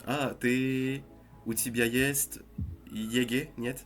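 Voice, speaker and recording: A man's voice: mid-range voice, little pitch movement, faint music behind. A man, speaking a learned language hesitantly over a video call. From France. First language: French. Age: 20s. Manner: halting, hesitant with many fillers.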